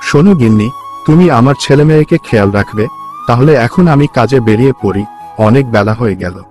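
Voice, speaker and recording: A man's voice: medium pitch, expressive, fairly quick, a little mellow, soft background music, natural voice. A man playing a poor, kind village labourer and husband in a cartoon folk tale, with an earnest manner. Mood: happy, affectionate.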